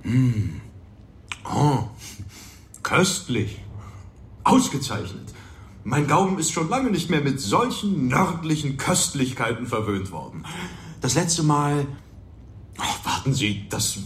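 A genteel ship's captain in a radio drama. He is effusively polite, drawing his words out slowly with wide swings of pitch. The space is echoey, with slight noise behind. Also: big pitch swings. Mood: savouring. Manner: effusive, savouring, gentlemanly. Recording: roomy echo, faint background noise.

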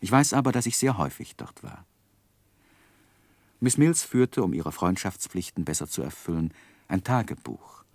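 An actor in a German radio play playing a reflective gentleman who narrates his own life in measured, literary phrases. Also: sits low, big pitch swings, no echo, exaggerated voice. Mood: melancholy, reflective. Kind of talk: scripted first-person narration in 1960s German radio drama.

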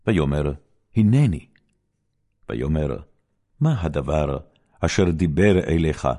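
A man giving a solemn, measured, dramatic reading of scripture as an audiobook narrator. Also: rumbling low, animated, warm tone.

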